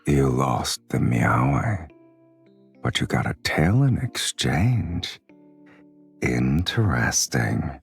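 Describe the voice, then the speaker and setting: A man voicing a suave, teasing male crime boss in a game, with a very deep, husky, low-key drawl. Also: very animated, very slow, soft background music, exaggerated voice.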